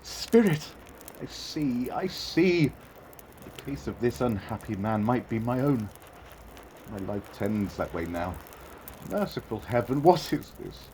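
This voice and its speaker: An elderly miser, fearful and repentant, in an audio drama. His pitch is middling and the delivery is full of dread. A little noise sits behind the voice. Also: very animated, takes it slowly, darkish tone, rough recording. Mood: horrified. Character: frightened, repentant old miser.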